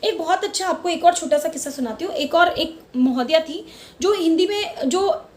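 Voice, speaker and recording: A middle-aged Indian woman with a high voice talks straight to her viewers in a casual vlog. She speaks with fervor, drives her points home by repeating words, and drops English terms into her Hindi. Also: normal speed. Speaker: channel host. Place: lives in Mumbai.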